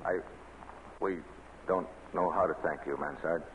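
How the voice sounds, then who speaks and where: An actor in an old-time radio drama playing a resolute Polish prisoner of war leading an escape, earnest and dramatic, on a noisy vintage recording. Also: male voice, low-pitched, fairly even intonation, measured pace, dull audio. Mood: hushed, solemn.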